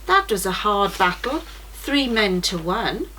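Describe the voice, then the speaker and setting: A woman's voice: mid-range voice, very animated, unhurried, poor audio. Lady reading as a kindly warrior guide guy in a kids story, admiring and caring, bit of noise behind. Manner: concerned questioning and warm praise. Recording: noticeable background noise.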